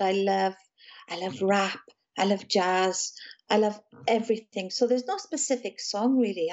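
A woman from South Wales with a South Welsh accent, talking calmly and gently as an interview guest about her music and books. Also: mid-range voice, muffled recording. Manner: gentle, calm, chatty with small laughs. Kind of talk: casual interview chat.

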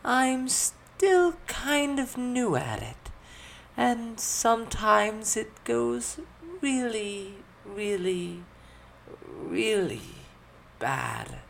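A narrator voicing a young noblewoman in a fantasy story, pompous but unsure of herself, with a drawn-out delivery. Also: mid-range voice, very animated, a little hiss or hum, pitched above the performer's own voice. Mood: sheepish.

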